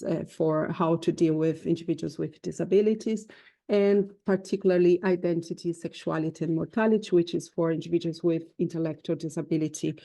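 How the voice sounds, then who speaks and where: A woman's voice: fairly low pitch, warm tone. A woman lecturer explaining course admissions in a webinar, with frequent fillers. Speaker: university lecturer. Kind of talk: webinar presentation.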